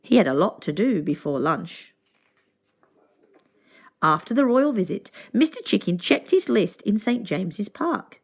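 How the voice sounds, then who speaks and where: A woman reads a children's story aloud as narrator. Her intonation is very lively, and she phrases deliberately and expressively. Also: medium pitch, slightly rough.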